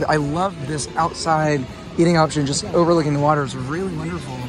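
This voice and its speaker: A young man vlogging at a theme park, enthusiastic and chatty, filming himself with a handheld camera outdoors. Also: on the high side. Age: young adult. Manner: enthusiastic, upbeat, yelling on rides. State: losing his voice. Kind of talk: casual vlog commentary. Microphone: handheld camera at arm's length. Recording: loud wind and ride noise.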